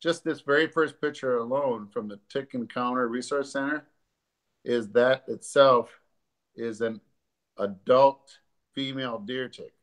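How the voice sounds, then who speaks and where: Congested older man, halting. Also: mid-range voice. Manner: halting, many ums and uhs. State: congested from allergies. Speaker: doctor hosting a live stream. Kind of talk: casual educational talk. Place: living room at home, sitting on a sofa. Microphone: webcam or laptop camera at desk distance.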